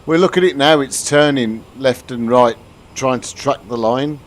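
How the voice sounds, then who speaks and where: A man's voice: medium pitch, moderate pace. A man from the UK live-streaming a flight simulator, chatting casually with his viewers and explaining the cockpit as he flies. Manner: chatty, answers viewers, frequent restarts. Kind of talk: live-stream commentary to chat.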